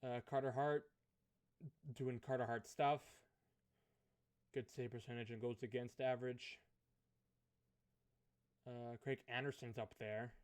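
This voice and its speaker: A man with a hoarse, fading voice, thinking aloud as he commentates a hockey management game. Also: medium pitch, fairly even intonation, a little mellow. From Canada. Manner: musing aloud, unhurried, thinking through choices. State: hoarse, losing his voice. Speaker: gaming commentator. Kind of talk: let's play commentary of a management sim.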